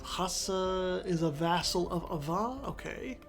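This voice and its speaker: A man's voice: high voice, a little slow, faint music behind. A man commentating on a strategy game in a casual, rambling way, correcting himself as he goes and sounding congested. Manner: chatty, rambling, self-correcting.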